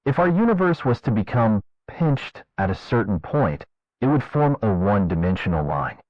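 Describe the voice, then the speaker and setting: A man narrating a fictional scientific government report in a formal, measured, clinical manner. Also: lowish voice, dry recording. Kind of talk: scripted documentary narration, analog horror. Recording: dull lo-fi narration track.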